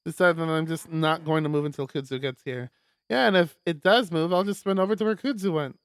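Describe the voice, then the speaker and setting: A man streaming a live read-aloud of a visual novel, expressive, with stumbles and casual asides. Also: on the high side, brisk, clear, resonant tone, no echo, studio quality. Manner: expressive, stumbles over words while reading.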